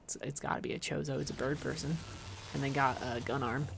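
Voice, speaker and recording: A woman's voice: extremely low pitch, fairly even intonation, a little fast, creaky, noisy background. A woman doing casual livestream game commentary, chatting informally with viewers and rambling into playful asides. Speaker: livestream host playing a video game.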